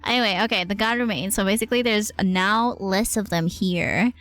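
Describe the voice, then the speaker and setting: A woman's voice: mid-range voice, moderate pace, a bit bright. A woman game streamer giving casual, chatty live commentary, playfully reacting aloud as she goes.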